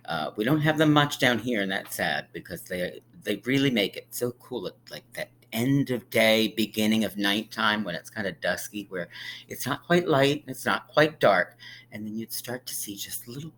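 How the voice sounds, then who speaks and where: A man reading a picture book aloud to young children like a teacher, with emphatic pauses and encouraging, friendly asides. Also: on the low side, expressive, fairly bright tone, slight background noise, rough recording. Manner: encouraging, inviting listeners to count along; drawn-out, emphatic storytelling delivery with dramatic pauses.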